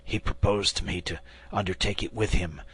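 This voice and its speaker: A man's voice: fairly even intonation, normal speed, a bit bright, breathy edge, exaggerated voice. A man voicing a wounded, terrified soldier who begs for his life. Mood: fearful. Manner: pleading, high and strained.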